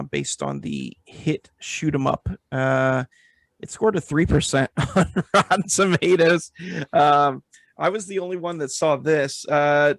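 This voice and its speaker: A man's voice: on the high side, gravelly, no room sound. A man casually hosting a podcast, introducing each item and passing it to his co-hosts. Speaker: podcast host.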